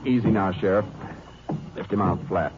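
An actor playing a calm, commanding male Texas Ranger in a 1950s radio drama, speaking in a clipped, matter-of-fact way and also narrating. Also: sits low, moderate pace, noisy background, close to an everyday voice. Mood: steady. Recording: muffled 1950s radio broadcast.

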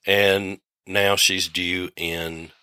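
An older man with a low, bright voice, recorded close and dry. He co-hosts in a casual, folksy way and sounds like he is getting over a cold. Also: flat delivery, drawn-out words, smooth, dry recording. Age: 60s. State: getting over a cold, voice lower than usual. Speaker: podcast co-host. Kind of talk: casual conversational podcast chat.

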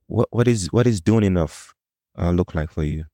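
A man's voice: low-pitched, normal speed, dark tone, dry recording, studio quality. A man co-hosting a podcast, playful and fond of jokes. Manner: joking and playful. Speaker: podcast co-host. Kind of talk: casual podcast conversation.

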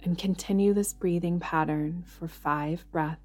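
A woman leading a guided yoga nidra meditation in a gentle, soothing manner, leaving long pauses between phrases. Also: on the low side, fairly even intonation. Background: background music.

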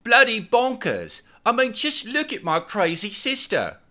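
A man putting on a bossy, excitable young woman. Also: fairly high pitch, measured pace, voice pushed up, heavily acted. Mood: exasperated. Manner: exclaiming, nagging, slangy.

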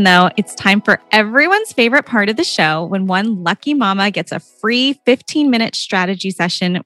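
A woman's voice: mid-range voice, fairly bright tone. A woman hosting a parenting podcast, bubbly and enthusiastic, with a soothing voice. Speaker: podcast host.

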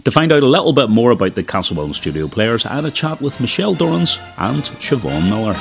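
A man's voice: mid-range voice, sing-song, fast, gravelly, music in the background. A man presenting a community radio show. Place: radio studio.

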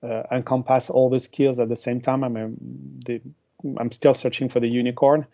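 A man's voice: medium pitch, little pitch movement, normal speed, dark tone. A man with a French accent, a marketing executive answering interview questions with many hesitations and restarts.

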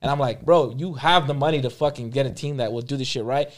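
A man's voice: medium pitch, fast. A man co-hosting a casual podcast, emphatic and profane, talking over the others. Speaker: podcast co-host.

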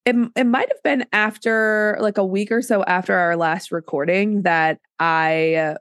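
A woman's voice: mid-range voice, steady tone, a little slow, a bit bright, no room sound, clean studio sound. A woman hosting a podcast, stuffy from a cold, talking casually with lots of fillers. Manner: chatty, many fillers and self-interruptions. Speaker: podcast host writing a book.

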